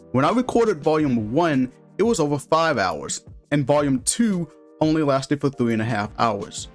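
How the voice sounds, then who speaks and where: A man giving a casual, opinionated review and story recap with informal, slightly crude slang. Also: mid-range voice. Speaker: video game reviewer. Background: music.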